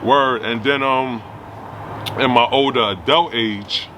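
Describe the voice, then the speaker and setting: A man's voice: mid-range voice, slow, background noise. A man from Trinidad, a guest tattoo artist, telling his story in casual chat, laid back and peppering his talk with "you know what I mean". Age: adult with own family.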